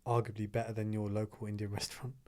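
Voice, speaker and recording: A mellow-toned man talks casually and keeps agreeing with the others. His easygoing manner fits a relaxed guest spot. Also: on the low side. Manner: laid-back, agreeable, quick to back others up. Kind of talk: casual chat.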